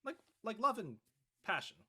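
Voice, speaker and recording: A man's voice: high-pitched, big pitch swings, normal speed, bright, forceful tone, slightly rough. A man on a casual discussion panel, talking earnestly and emphatically in a rambling way. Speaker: actor guest on a web show panel. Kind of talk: casual chat.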